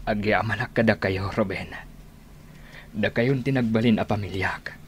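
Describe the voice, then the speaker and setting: Man acting a low-voiced, sorrowful older man. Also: steady tone, moderate pace, natural voice. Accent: Ilocano. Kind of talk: radio drama acting. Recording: slightly noisy AM radio.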